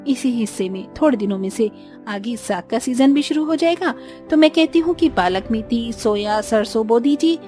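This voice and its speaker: A woman acts out an earnest, practical village daughter-in-law in a cartoon story. Her voice is high with a soft, mellow tone. She explains earnestly over background music. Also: lively intonation, normal speed, lightly acted. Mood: eager advising. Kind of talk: Hindi cartoon story dialogue.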